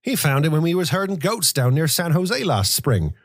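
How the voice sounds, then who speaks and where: A cheerful young wild boy from a storybook voiced by a male reader. He talks in rough, rustic phrases with a teasing air, pitched up and slightly husky, recorded dry. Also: big pitch swings, normal speed, dry recording. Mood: matter-of-fact. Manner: rustic ungrammatical speech, chuckling. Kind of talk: audiobook character voice.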